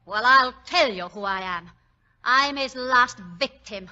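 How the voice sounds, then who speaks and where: A woman playing a bitter, nervous fallen young lady with blunt, rough talk, in 1940s radio drama style. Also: high-pitched, a little slow, sharp tone, full character voice. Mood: bitter, furious. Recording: dull lo-fi old radio recording.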